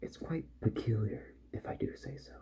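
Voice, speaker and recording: A man doing a soft-spoken ASMR roleplay as a calm, methodical repair doctor, musing gently to himself and often drifting into a whisper. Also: normal speed. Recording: muffled audio with faint background noise.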